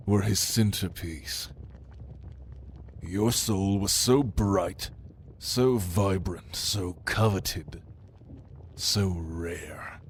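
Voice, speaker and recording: A deep-voiced, prideful demon played by a man speaks slowly and deliberately, with mocking menace. His murmurs slip toward whispering in an intimate ASMR roleplay, over noticeable background noise. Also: a little mellow. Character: sinister, prideful male demon, a prince's cunning right hand. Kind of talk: ASMR roleplay monologue to the listener.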